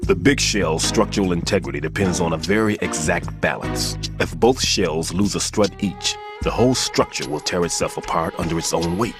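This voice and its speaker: An actor playing an older male bomb-disposal expert in a video game cutscene, grave and measured, with game music behind him. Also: sits low, lightly acted. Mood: steady, expert. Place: recording studio. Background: game score music.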